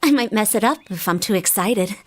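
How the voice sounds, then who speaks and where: An actress playing a shy, polite young woman in anime game dialogue, soft-spoken and demure. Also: medium pitch. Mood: flustered. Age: young adult.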